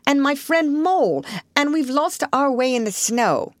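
Woman as a high-pitched pleading little rat. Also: lively intonation, unhurried, no echo, studio quality. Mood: anxious, pleading. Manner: pleading, crying out. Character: small eager male water rat, polite and pleading. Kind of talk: storybook character voice.

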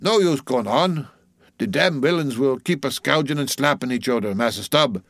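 A man's voice: animated, normal speed, a bit bright, no room sound. A man voicing a sulky ship's cook of about ninety, muttering testily in dialect, in an audiobook story. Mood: gloomy preaching. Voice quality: shrill when raised. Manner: muttering, testy, dialect speech.

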